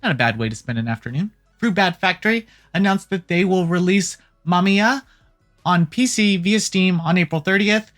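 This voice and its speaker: A host delivering casual gaming news commentary in an irreverent, jokey way, swearing casually, with a medium-pitched voice. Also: fairly bright tone. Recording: music playing behind the voice. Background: background music.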